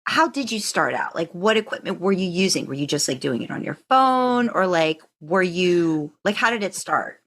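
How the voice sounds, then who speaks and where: Low-pitched woman, casual podcast host. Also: lively intonation, moderate pace, few pauses, fairly bright tone. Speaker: podcast host interviewing a guest. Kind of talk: casual conversational interview.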